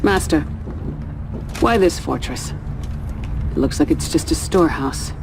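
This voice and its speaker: A woman playing a brisk, commanding warrior in the field in a video game. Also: low voice, background noise. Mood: alert.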